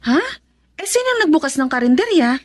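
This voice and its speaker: A concerned, inquisitive neighbourhood aunt in a radio drama. Her voice is fairly high and swings widely in pitch with expressive delivery. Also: fast talker. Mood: friendly, curious. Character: concerned, gossipy neighbourhood aunt and loyal friend.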